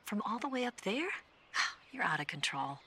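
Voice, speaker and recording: A woman's voice: mid-range voice, big pitch swings, normal speed, sharp tone, husky, heavily acted. An actress playing a confident, teasing young female spy in a video game, with noise audible on the recording. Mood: teasing, decisive.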